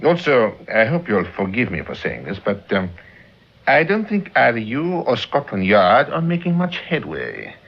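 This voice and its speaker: An actor playing a grave, world-weary older theatrical impresario, formal and hesitant, in a mid-century radio drama. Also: male voice, mid-range voice, huge pitch swings, normal speed, gravelly, full character voice. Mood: gloomy, reproachful. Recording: dull, muffled vintage radio recording.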